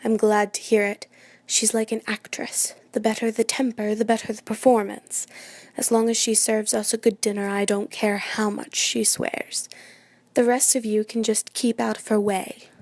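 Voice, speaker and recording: A woman playing an excitable, imperious New York society hostess and mother in a radio play, chattering and dramatic. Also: mid-range voice, normal speed, dry recording, close to an everyday voice. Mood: bossy, fussing.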